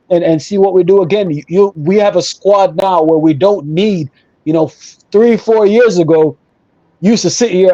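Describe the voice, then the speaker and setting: A man's voice: fairly high pitch, warm tone, dry recording, dull audio. A man talking casually and emphatically as an opinionated football fan on a panel show, repeating himself for emphasis. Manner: emphatic, repetitive, conversational.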